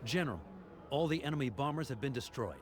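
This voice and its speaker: An actor playing an eager, respectful military aide in a war game, giving brisk, deferential reports. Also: male voice, mid-range voice, animated, close to an everyday voice. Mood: pleased. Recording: loud music and battle sound behind.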